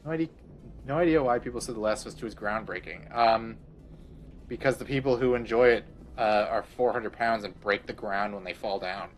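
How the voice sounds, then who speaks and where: A man's voice: on the low side, brisk, soft background music. A man streaming a game, rambling crudely in casual commentary and laughing often.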